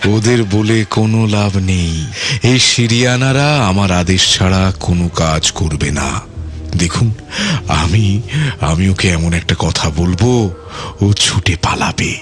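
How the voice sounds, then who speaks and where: A man's voice: sits low, takes it slowly. An actor playing a sly old man who holds a guest captive, falsely polite and coaxing in a radio drama. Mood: quietly menacing. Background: dramatic music.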